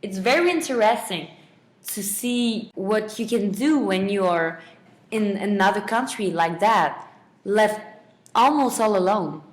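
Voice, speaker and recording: A woman's voice: medium pitch, animated, unhurried, poor audio. A young adult woman, a student guest giving semi-prepared answers in a radio interview.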